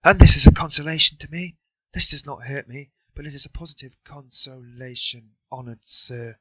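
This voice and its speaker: A man's voice: fairly high pitch, normal speed, sharp tone, slightly rough, no room sound, voice pushed up. A man voicing a meek, drunken middle-aged man crying out in self-abasement. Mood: abject. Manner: pleading, self-abasing cries. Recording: dull, dry recording.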